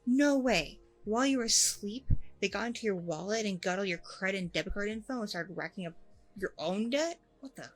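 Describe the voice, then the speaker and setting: A woman playing a warm, chatty single working mom on a date, in a casual, rambling ASMR roleplay. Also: mid-range voice, lively intonation, a little fast, faint music behind, natural voice, rough recording. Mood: shocked, sympathetic. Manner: casual, rambling, informal slangy talk. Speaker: roleplay performer.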